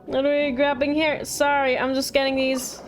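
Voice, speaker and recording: A woman game streamer giving casual, halting commentary, musing aloud as she plays. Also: high voice, flat delivery, soft background music, poor audio. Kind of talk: casual live gameplay commentary.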